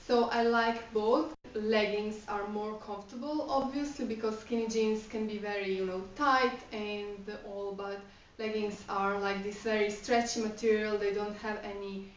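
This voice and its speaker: A woman's voice: medium pitch, steady tone, moderate pace, slight background noise. Chatty woman in her thirties, echoey room. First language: Croatian. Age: 30s. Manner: chatty, many fillers like "you know" and "um". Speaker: vlogger. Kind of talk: casual Q&A vlog. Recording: echoey room, mic a bit far off.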